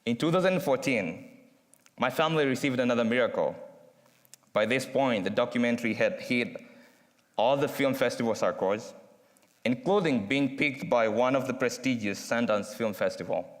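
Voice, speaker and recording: Young Kenyan man speaking in a chapel. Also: medium pitch. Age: young adult. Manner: earnest and measured, with dramatic pauses. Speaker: student speaker. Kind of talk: prepared speech read aloud. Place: university chapel. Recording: long reverb from a large chapel.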